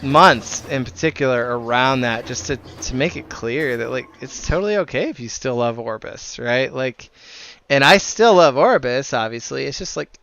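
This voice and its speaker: A man's voice: medium pitch, animated, moderate pace, a bit bright, dull audio. A man who leads a gaming group, talking casually while streaming a game, earnest and rambling, with some casual swearing.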